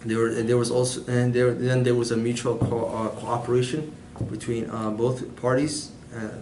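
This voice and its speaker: A man's voice: mid-range voice, little pitch movement, moderate pace, roomy sound, noisy background. A man giving a prepared class presentation, speaking hesitantly with many filler sounds. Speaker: student presenter.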